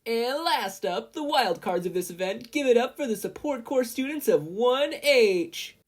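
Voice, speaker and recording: A narrator voicing a loud, excited male sports announcer with drawn-out, shouted delivery. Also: mid-range voice, pitched above the performer's own voice. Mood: hyped.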